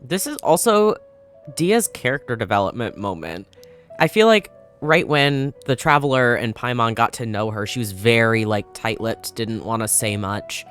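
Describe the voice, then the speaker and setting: Male gaming host, mid-pitched, chatty and wry, reacting aloud over faint game music. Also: animated, normal speed, a bit bright, clear, resonant tone. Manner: informal, reacting aloud, sardonic asides. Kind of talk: casual gameplay commentary.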